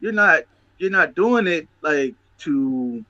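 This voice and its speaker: A man's voice: fairly high pitch, expressive, slow pace, bright, forceful tone, no room sound, muffled recording. A man talking emphatically about faith as a host, often repeating words as he builds a point. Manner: preachy, emphatic, repeats himself. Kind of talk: casual chat.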